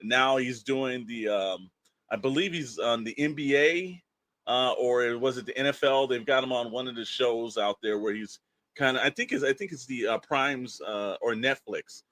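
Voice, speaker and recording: A man's voice: medium pitch, normal speed, fairly bright tone, no echo. Guy podcast cohost, rambly and emphatic, slangy casual talk, dry close sound. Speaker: podcast co-host.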